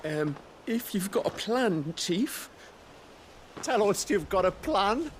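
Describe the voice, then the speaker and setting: A man's voice: high voice, big pitch swings, slow, a little hiss or hum, full character voice. An actor playing a timid, dim henchman in a video game, anxious and pleading. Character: timid, whining dim henchman of a smuggler boss. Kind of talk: video game cutscene acting.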